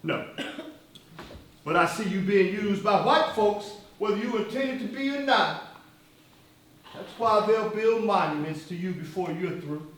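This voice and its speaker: An actor playing a fiery, sardonic political leader in a stage play, with an oratorical, sarcastic, theatrical delivery. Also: male voice, high-pitched, a little slow, long pauses, fairly bright tone. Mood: challenging. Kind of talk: one-act stage play dialogue. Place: live theatre performance, recorded from far off. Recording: distant, very echoey stage hall.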